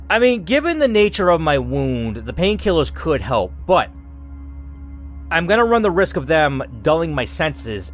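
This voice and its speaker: A man reading a game's story aloud expressively, mixing in casual commentary as the host. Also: a little high. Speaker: gamer, channel host. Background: music.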